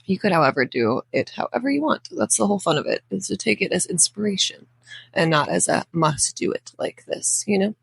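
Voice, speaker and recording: A woman with a crackly, raspy voice from being under the weather, giving a chatty, informal voice-over walkthrough with rambling asides. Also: mid-range voice, lively intonation, fairly quick, no echo. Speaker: nail art tutorial host.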